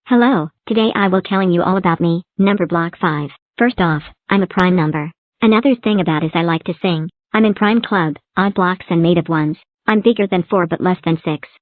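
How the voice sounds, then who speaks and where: A woman's voice: lowish voice, fairly quick, few pauses, no room sound, natural voice. A woman voicing a bubbly little talking number block in a fan-made cartoon, chirpy and sing-song. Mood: cheery. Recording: tinny phone-like dry recording.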